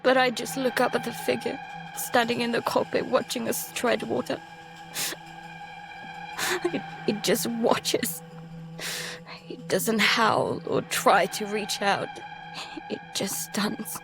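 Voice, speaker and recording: A woman acting a frightened, exhausted young survivor adrift at sea in an audio drama, halting and husky. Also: on the high side, steady tone, over music, low-quality recording. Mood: hushed, drained dread.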